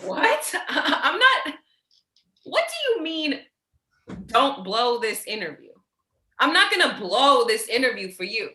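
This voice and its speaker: A woman's voice: sits high, big pitch swings, takes it slowly, long pauses, pushed and bright, full character voice. A woman playing a stressed, defensive, foul-mouthed sister in a staged play reading, emotional and confrontational. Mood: indignant. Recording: echoey room.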